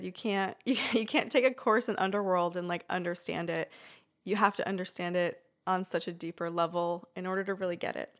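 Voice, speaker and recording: A woman in her thirties hosting a solo podcast, talking earnestly and slowly about her spiritual work, with fillers and pauses. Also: lowish voice. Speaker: podcast host and shamanic practitioner. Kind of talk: reflective solo podcast monologue.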